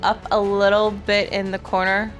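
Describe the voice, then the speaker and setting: A woman's voice: mid-range voice, flat delivery, normal speed, soft background music. A woman playing a tabletop fantasy game, casually describing her character's moves. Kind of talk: casual game play among friends.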